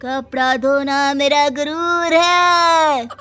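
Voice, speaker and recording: A woman's voice: high-pitched, fairly even intonation, drawn-out words, bright, forceful tone, full character voice. A woman voicing a zealous, cheeky young daughter-in-law in a comedy cartoon. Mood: indignant. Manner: melodramatic, cheeky, indignant. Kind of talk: Hindi comedy cartoon acting. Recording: music bed under the voice.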